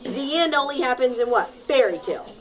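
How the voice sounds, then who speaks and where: A woman teacher reading a picture book aloud to her class in an expressive, dramatic manner, leaning on key words and prompting children to repeat. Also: high voice, brisk, crowd noise. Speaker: primary school teacher.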